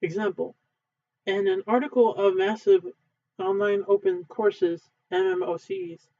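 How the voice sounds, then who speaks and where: A man reading a textbook aloud in a halting way, stumbling and correcting himself as he goes. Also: warm tone. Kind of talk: unedited read-aloud of a textbook. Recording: thin phone-like low-fidelity sound.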